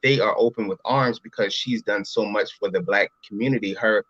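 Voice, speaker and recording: A man's voice: on the low side, fairly quick, a bit bright, dry recording. Late-30s man from Philly, casual interview guest, mid voice, rambling, dry muffled audio. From Philadelphia. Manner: rambling, repeats "you know what I'm saying". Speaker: interview guest, brand entrepreneur.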